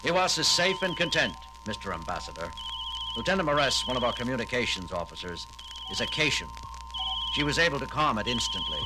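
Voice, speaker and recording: A man's voice: medium pitch, sing-song, brisk, over loud music, close to an everyday voice, rough recording. Starship captain, played by a man: authoritative, urgent, 1970s record drama with prominent score. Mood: composed, official. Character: commanding, decisive male starship captain. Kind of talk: 1970s audio drama acting.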